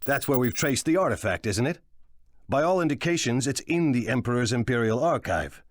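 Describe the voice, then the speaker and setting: An actor playing a cunning, ruthless crime lord in a video game, speaking in a measured, condescending and deliberate way. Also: male voice, mid-range voice, expressive, no room sound, clean studio sound, natural voice. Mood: calculating, commanding. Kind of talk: dramatic video game voice acting.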